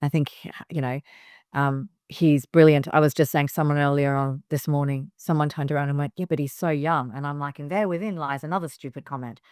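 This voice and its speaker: A woman's voice: low voice, steady tone, a little fast, a little mellow, clean tone, no room sound, clean studio sound. A middle-aged woman from Hong Kong, a business founder giving direct, emphatic advice in a casual interview, with frequent fillers and restarts.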